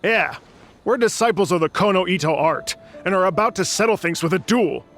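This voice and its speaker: A man's voice: sits high, expressive, fairly quick, a bit bright, faint music behind. A man playing an earnest, proud sword disciple, speaking formally and solemnly in dramatic video game style. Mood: defiant. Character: earnest, proud young male sword disciple, senior student.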